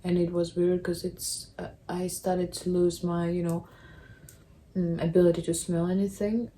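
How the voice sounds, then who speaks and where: A young woman, chatting casually to camera in halting English, often restarting her sentences. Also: fairly low pitch, little pitch movement, mellow. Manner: halting, apologetic non-native English with restarts. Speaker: vlogger. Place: apartment.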